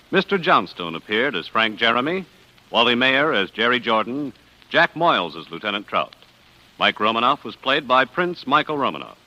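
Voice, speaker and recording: A male announcer reads credits in formal vintage 1940s radio style. His mid-pitched voice is very sharp and projecting, with each phrase firmly stressed. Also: lo-fi sound. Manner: formal, crisply enunciated, emphatic.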